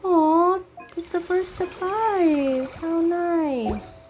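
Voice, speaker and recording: A woman gaming streamer reading dialogue aloud during a playthrough, halting and stumbling, often repeating words. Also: very high voice, darkish tone. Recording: game music behind the voice. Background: video game music.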